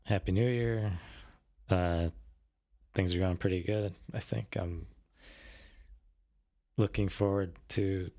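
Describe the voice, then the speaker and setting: A man hosting a casual livestream, thinking aloud hesitantly, with a slightly raspy voice worn from a recent illness. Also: deep voice, fairly even intonation, takes it slowly, long pauses, dark tone. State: voice tired after recent illness. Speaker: streamer host.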